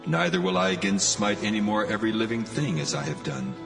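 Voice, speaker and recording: A man's voice: lowish voice, sing-song, a little fast, gravelly. A man playing God in a dramatized scripture reading, solemn and commanding, declaiming archaic commands. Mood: solemn resolve. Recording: long cavernous reverb, music underneath. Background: music.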